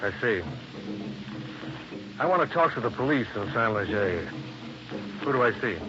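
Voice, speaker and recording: An actor playing a tough, dry American insurance investigator in hard-boiled old-time radio style. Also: male voice, mid-range voice, lively intonation, moderate pace, over loud music, lightly acted. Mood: uneasy, probing. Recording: muffled, hissy old radio recording.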